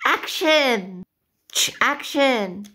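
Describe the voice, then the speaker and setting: A woman playing an eager, bossy young girl who directs a homemade movie in doll play, with excitable, drawn-out delivery. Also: high-pitched, exaggerated voice. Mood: eager, directing.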